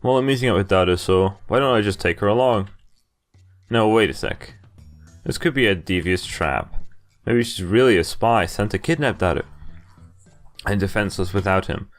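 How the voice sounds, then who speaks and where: Low-voiced man reading game text aloud. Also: moderate pace, long pauses. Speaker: video game playthrough host. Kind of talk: reading a visual novel's text aloud, dramatized narration. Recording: game music behind the voice. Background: game music.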